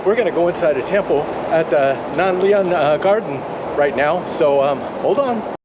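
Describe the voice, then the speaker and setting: Playful man vlogging over loud outdoor noise. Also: on the high side, normal speed, lo-fi sound. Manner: jokey, playful. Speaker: vlog host in Santa persona. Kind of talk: casual travel vlog chat. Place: outdoors in a temple garden. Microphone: action camera. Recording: loud ambient noise on action camera.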